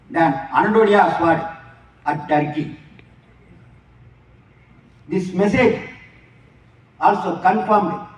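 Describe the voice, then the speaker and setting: A man with a Tamil Indian English accent speaks in a slow, halting, formal way, his voice set a little high. He is recorded from a distance in an echoey hall. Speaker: martial arts grandmaster giving a speech. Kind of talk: formal speech. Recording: echoey hall, mic far off.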